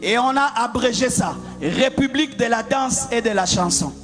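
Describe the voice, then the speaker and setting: A man from the Congo preaching a fervent sermon as a pastor, repeating lines and shouting in a half-sung cadence. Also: very high-pitched, lively intonation, normal speed. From Democratic Republic of the Congo. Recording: preaching over loud music.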